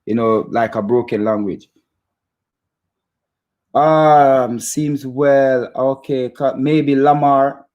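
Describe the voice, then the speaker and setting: A man with a Jamaican accent hosts an informal football fan chat. His voice sits at a medium pitch. He talks in a halting, stop-start way, often repeating a phrase before moving on, as he addresses his viewers directly. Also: fairly even intonation, muffled recording. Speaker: football fan channel host. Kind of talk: casual chat to live viewers about football.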